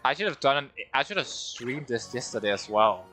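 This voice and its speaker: Bright-voiced man. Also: medium pitch, animated, fairly quick, soft background music. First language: Danish. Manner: rambling, self-deprecating, talks to himself. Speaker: streamer. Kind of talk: casual game livestream commentary.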